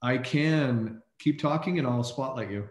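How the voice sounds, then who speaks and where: A man hosts a group call in a casual, introductory way. His pitch sits in the middle for a man. The sound is roomy and echoey. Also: unhurried. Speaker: host of a team call.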